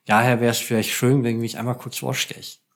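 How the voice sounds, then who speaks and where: A man hosts a podcast episode, working through prepared questions for his guest. He speaks in a plain, measured manner at a normal middle pitch for a man. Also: level tone, a bit bright. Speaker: podcast host. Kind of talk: scripted interview questions.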